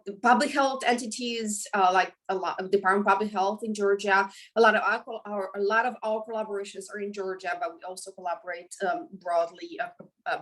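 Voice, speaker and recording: A woman's voice: mid-range voice, a bit bright, recorded from a distance, rough recording. A woman in her forties from Romania with a Romanian accent, answering questions in a webinar interview with a hesitant delivery full of ums and restarts. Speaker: professor and guest.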